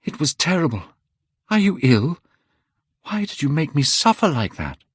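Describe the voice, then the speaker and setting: A man's voice: on the high side, big pitch swings, normal speed, husky, dry recording, voice pushed up. A man voicing a proud, passionate young man who speaks coldly and bitterly, in a dry audiobook recording. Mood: scornful. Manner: cold and cutting, then bitter.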